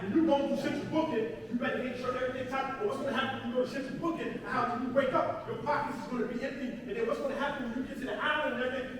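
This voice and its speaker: A man with a New York accent gives an earnest testimonial speech in an emphatic, repetitive, colloquial way. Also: extremely high pitch. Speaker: speaker at a community assembly. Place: large indoor hall, picked up from afar. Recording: very echoey hall, distant mic, clear noise.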